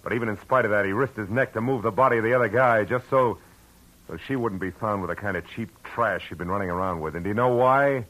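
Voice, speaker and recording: An actor playing a tough, world-weary private detective in a 1940s radio drama, talking in clipped, hard lines. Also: male voice, fairly low pitch, fast talker, a bit bright, poor audio. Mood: forceful. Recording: old radio broadcast with faint hiss.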